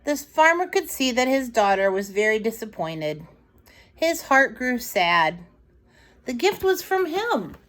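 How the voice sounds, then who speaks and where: Slow, animated woman reading a children's story. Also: fairly high pitch. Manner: dramatic, deliberate storybook delivery with asides to listeners. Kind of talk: expressive read-aloud storytelling for young children.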